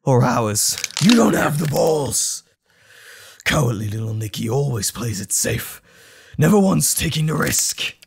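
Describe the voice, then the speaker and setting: A man's voice: medium pitch, very animated, a little slow, gravelly, a little hiss or hum. A man voicing a wild, contemptuous male alter ego in an audio drama, taunting and sneering. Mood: menacing, taunting.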